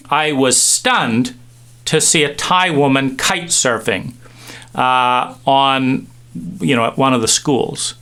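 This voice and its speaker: A middle-aged man giving a reflective talk, musing with pauses and restarts as he tells stories. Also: on the high side, pushed and bright, smooth. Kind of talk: reflective monologue with anecdotes.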